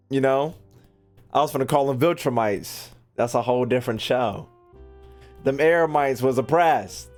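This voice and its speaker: A young man doing casual, slangy, profane reaction commentary as a gaming streamer, riffing and repeating himself. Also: medium pitch.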